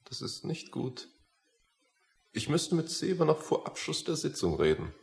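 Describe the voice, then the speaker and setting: A man acting an enigmatic, quietly determined philosophizer in a radio play, speaking in a cryptic, measured manner. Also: a little high, sing-song, creaky, exaggerated voice. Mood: cryptic, insistent.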